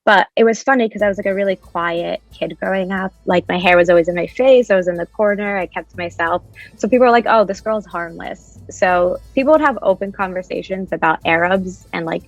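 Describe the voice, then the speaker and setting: A woman's voice: mid-range voice, fairly quick, muffled recording, soft background music. A woman in her thirties from New Jersey, telling personal stories as a panelist in an online discussion. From United States, New Jersey. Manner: personal storytelling, soft-spoken with frequent "like".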